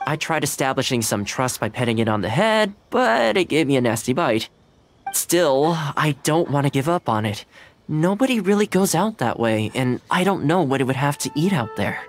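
A man's voice: a little high, big pitch swings, moderate pace, slight background noise. An actor playing a friendly, caring, patient young man in a video game, with a casual, coaxing manner. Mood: concerned, hopeful. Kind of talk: video game character dialogue. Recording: music under the voice.

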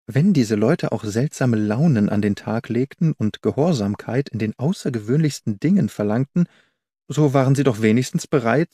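A man narrates a scripted detective story with a soft, mellow tone. His delivery is measured but expressive, at a middle pitch. The sound is close and very dry. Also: dry recording. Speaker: audiobook narrator.

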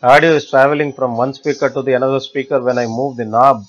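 Guy walking thru a software tutorial step by step, kinda halting, muffled lo-fi audio. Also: mid-range voice, level tone, faint music behind. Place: voice-over for screen recording. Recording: dull, lo-fi screencast audio.

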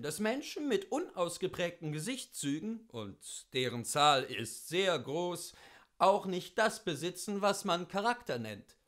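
Male audiobook reader for literary classics; notably high voice, very lively melody, theatrical and carefully articulated. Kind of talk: expressive literary audiobook reading.

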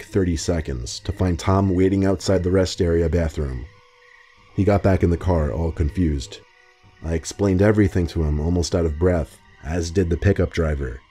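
A man with a deep, dark voice tells scary road stories in a steady, even manner. He reads them as scripted narration, and soft music plays quietly underneath. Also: husky. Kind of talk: scripted horror story narration.

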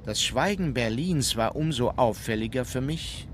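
A man's voice: medium pitch, lively intonation, moderate pace, a little hiss or hum, close to an everyday voice. An actor playing a composed German admiral who recounts his memoirs in a formal, restrained, factual way. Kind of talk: scripted memoir narration in audio drama.

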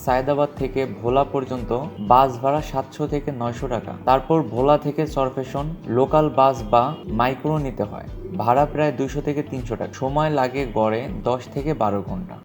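Higher-voiced Bangladeshi man narrating over music. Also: steady tone, a little fast, a little mellow. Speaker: travel vlogger and marine equipment sales representative. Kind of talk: scripted travel vlog narration.

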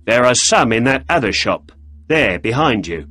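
A man voicing a grumpy, defensive male shopkeeper who snaps quick, irritable answers. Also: medium pitch, sing-song, bright, forceful tone, slightly rough, heavily acted.

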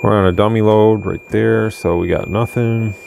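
A man's voice: fairly low pitch, moderate pace, gravelly, slight background noise. A man casually demonstrating a radio at his workbench, rambling a little as he adjusts the gear. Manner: relaxed, rambling, talks while adjusting gear. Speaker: radio reviewer. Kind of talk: casual product demo. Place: workbench with radio equipment, indoors.

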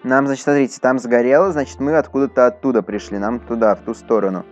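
Male let's player, medium pitch, informal and talkative, addressing viewers during gameplay. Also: steady tone, fast, highs cut off, soft background music. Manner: chatty, talks to his horse and to viewers. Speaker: gaming YouTuber. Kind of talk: casual Minecraft let's play commentary.

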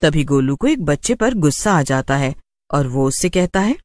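A man's voice: on the high side, sing-song, normal speed, darkish tone, no echo, studio quality. A man narrating a scripted children's horror story as a storyteller.

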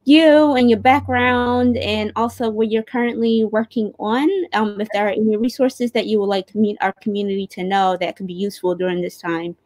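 A woman's voice: mid-range voice, steady tone, brisk, hardly any pauses, a little mellow, dry recording. A woman casually co-hosting a livestream over a video call. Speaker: livestream co-host. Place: indoor room at home, on video call. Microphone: video call device.

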